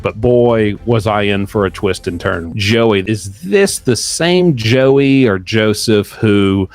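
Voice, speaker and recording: A man with a fairly low voice gives casual commentary as a show guest. He speaks emphatically and with animation, with faint music behind him.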